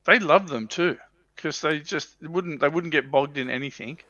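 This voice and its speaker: A man's voice: a little high, normal speed, pushed and bright, dry recording. A man co-hosting a livestream, talking casually about a hobby over a dull microphone. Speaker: livestream co-host. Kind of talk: casual hobby chat about tabletop wargames.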